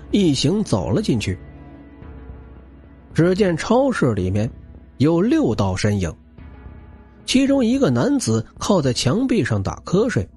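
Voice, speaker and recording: A man's voice: high-pitched, a little mellow, husky. A man narrating an audiobook novel in a dramatic, scripted storytelling style, with music behind him. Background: background music.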